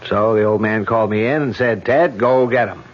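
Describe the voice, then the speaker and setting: An actor playing a self-assured, joking police detective, in a radio drama. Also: male voice, fairly low pitch, brisk, lightly acted. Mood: breezy. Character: cocky male police detective. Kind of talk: 1970s radio drama acting. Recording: dull old radio recording.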